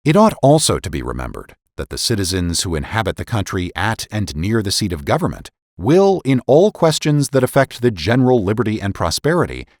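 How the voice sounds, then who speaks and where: Male narrator, lowish pitch, highly animated intonation; formal, emphatic dramatic reading of period political prose. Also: no room sound, studio quality. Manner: formal, deliberate, emphatic oratorical delivery. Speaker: podcast host and narrator.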